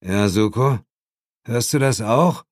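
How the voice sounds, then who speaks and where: Man doing a deadpan investigator sidekick. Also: medium pitch, slow, dry recording, studio quality, voice pushed up. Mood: questioning. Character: laconic, playing-along male partner of the hero.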